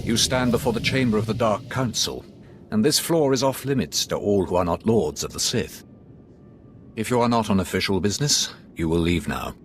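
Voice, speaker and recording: A man's voice: lowish voice, lively intonation, moderate pace, slight background noise, natural voice. A man playing a stern, scornful Sith lord. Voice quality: stern, commanding, contemptuous. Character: cold, proud Sith lord father, scornful. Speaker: Sith lord guarding the council chamber. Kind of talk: video game voice acting.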